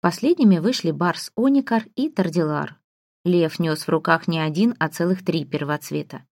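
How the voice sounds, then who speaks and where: A woman reading first-person fiction aloud as an expressive audiobook narrator. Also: on the low side, moderate pace, dry recording, clean studio sound.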